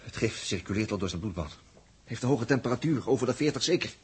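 A man's voice: mid-range voice, lively intonation, fast talker, close to an everyday voice. An actor playing a stressed young spaceman in a 1960s radio drama, hesitant and urgent, repeating himself as a reluctant amateur surgeon. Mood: agitated. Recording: faintly noisy 1960s radio recording.

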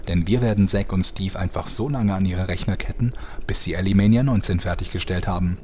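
A man's voice: low-pitched, fairly quick, a little mellow, a little hiss or hum, close to an everyday voice. A man playing a dramatic, tongue-in-cheek and pompous narrator of a serial audio drama parody. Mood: dramatic, mock-suspenseful.

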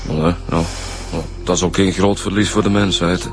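A man's voice: sits low, level tone, fairly quick, close to an everyday voice. A man playing a grumbling, laid-back police detective with a Flemish accent. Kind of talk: radio drama acting. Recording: music under dialogue.

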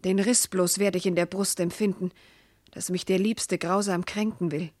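Actress as a proud, wounded noble wife, earnest. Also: female voice, on the low side, steady tone, normal speed, darkish tone, lightly acted. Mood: indignant, wounded. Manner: earnest, elevated, declamatory verse delivery. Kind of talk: classical verse radio drama acting.

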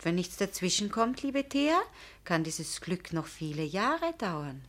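Casting: earnest, proud young lady for radio drama, lowish voice, direct, emphatic. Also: big pitch swings, a little slow, fairly bright tone. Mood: drily pointed. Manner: direct, emphatic, pleading or drily pointed. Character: proud, earnest, frank young woman engaged to marry. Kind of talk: German radio drama acting.

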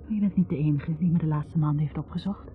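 Tinny, low-voiced bitter young woman played by an actress. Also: fast, faint music behind, close to an everyday voice. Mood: defiant, resolute. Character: wounded, bitter young academic woman confessing on tape. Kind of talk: 1960s Dutch radio drama monologue. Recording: tinny narrow-band 1960s radio recording.

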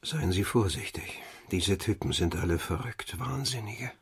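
An anxious man in a radio drama, urgent yet formal. His voice is low and extremely husky, rough all the way through. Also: measured pace. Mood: warning. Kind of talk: German radio drama acting.

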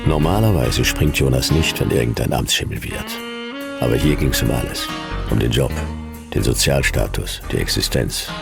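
An actor playing a laconic, world-weary private detective in a radio play, narrating and talking in a dry, clipped, deadpan way. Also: male voice, very deep voice, moderate pace, gravelly, over loud music, full character voice. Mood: dry narration.

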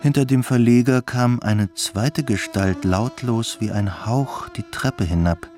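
A man's voice: mid-range voice, measured pace, darkish tone. A man narrating a radio play in a scripted, literary style. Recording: studio narration with faint music.

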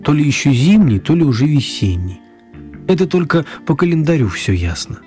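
A man's voice: a little high, big pitch swings, normal speed, darkish tone. An actor narrating an audio drama as a writer telling his own magical tale. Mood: storytelling. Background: music.

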